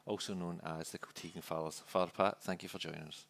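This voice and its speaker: A man's voice: low voice, fairly even intonation, fast, vocal fry, a bit bright, dry recording. A man hosting a podcast interview, asking friendly, brief questions. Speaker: podcast host.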